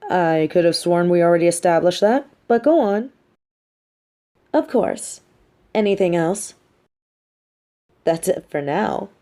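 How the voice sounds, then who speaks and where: A woman's voice: on the low side, animated, measured pace, pauses often, dark tone. A woman playing a smug, sardonic but sympathetic female supervillain in an audio roleplay, speaking articulately. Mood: smug, negotiating. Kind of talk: dramatic audio roleplay monologue.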